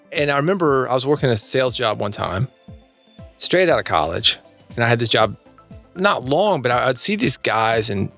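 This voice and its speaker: A man's voice: mid-range voice, fairly bright tone. A man in his forties speaking casually and candidly as an interview guest, often questioning himself. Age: 40s. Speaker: podcast guest, entrepreneur.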